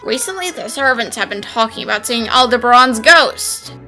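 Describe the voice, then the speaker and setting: A woman with a high, bright voice, acting out pleading lines in a fan dub. Her pitch moves about a lot, and soft music sits under her. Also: expressive, normal speed. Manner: pleading, flustered.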